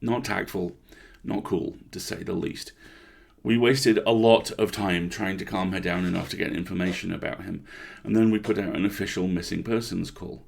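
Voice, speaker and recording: A man narrating a horror story in the first person, conversational and deliberate, with dramatic pauses. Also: sits low, fairly even intonation, creaky, husky.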